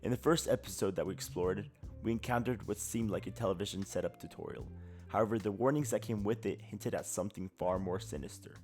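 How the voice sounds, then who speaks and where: A man's voice: on the low side, fairly quick, over music. A man co-hosting as a guest, giving video narration and casual reactions. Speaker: guest co-commentator, YouTuber.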